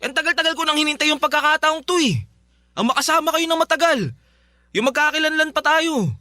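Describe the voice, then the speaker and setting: A man's voice: extremely high pitch, a little fast, pushed and bright, no echo, studio quality, exaggerated voice. A man playing a devoted, insistent youngest son talking earnestly to his father in a Filipino radio drama. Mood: earnest, pleading. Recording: music playing behind.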